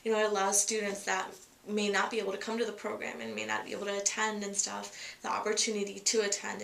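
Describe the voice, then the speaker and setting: Woman program participant; fry-tinged, bright, monotone, echoey room. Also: mid-range voice. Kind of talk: personal testimony.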